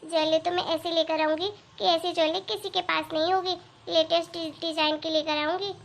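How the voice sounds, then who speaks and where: A woman's voice: very high voice, little pitch movement, a little fast, gravelly. A woman voicing a demanding, spendthrift young wife in a cartoon moral story, chatty and pleading as she nags. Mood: scheming eager.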